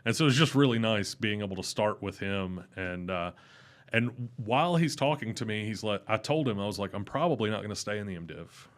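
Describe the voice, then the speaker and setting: Young man, loud and carrying, lively casual storyteller. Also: fairly quick. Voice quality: loud voice that carries. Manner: animated, rising in pitch when excited. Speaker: podcast guest.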